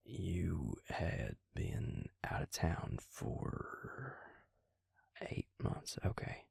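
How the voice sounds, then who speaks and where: A very husky, low-voiced vampire with a Southern drawl, acted by a man, murmurs close and dry in an intimate audio roleplay. He is gentle and protective, with a teasing charm, and takes his time over every phrase. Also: dry recording, heavily acted. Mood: careful, slow. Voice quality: breathy, gravelly. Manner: unhurried, soothing, half-whispered. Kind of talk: intimate scripted audio roleplay, addressing the listener.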